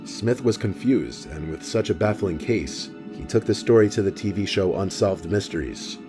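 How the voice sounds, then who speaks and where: A man reading a scripted documentary narration in a steady, even storytelling manner. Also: sits low, normal speed. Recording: voice-over with clear music behind.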